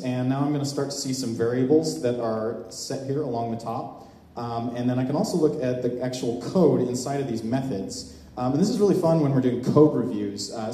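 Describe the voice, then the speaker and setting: A man giving a live demo talk to an audience as a software presenter, explaining in a halting way. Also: medium pitch. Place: large open indoor event space, on a small stage before a seated audience. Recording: echoey hall with long reverb.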